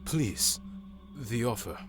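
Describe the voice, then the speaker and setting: Slow-talking, deferential alien aide played by a man. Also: mid-range voice, lively intonation, over music. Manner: polite, formal. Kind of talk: science fiction audio drama acting.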